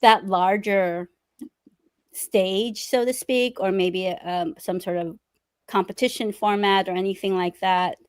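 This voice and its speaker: A woman's voice: mid-range voice, fairly even intonation, slow pace, clean tone, no room sound, clean studio sound. Friendly woman host on a video call. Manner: thoughtful, friendly, laughs easily. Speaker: interview host. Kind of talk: casual video-call interview.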